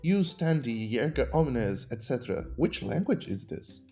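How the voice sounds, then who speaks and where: A man's voice: mid-range voice, sing-song, unhurried, darkish tone, faint music behind. A man voicing a curious female house cat who is puzzled by strange words. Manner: puzzled, questioning.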